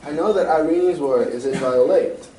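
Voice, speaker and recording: A man's voice: fairly high pitch, normal speed, mellow, lightly acted. A man playing a grandiose, formal king in a radio drama read on stage. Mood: grateful, grand. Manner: pompous, formal. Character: anxious, grandiose Victorian-era male king. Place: community theatre stage reading. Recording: echoey hall, distant mic, faint noise.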